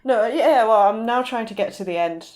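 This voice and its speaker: A woman's voice: fairly high pitch, moderate pace, a little mellow. A woman speaking as a podcast guest in casual, somewhat hesitant conversation, often rephrasing as she goes. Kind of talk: casual chat.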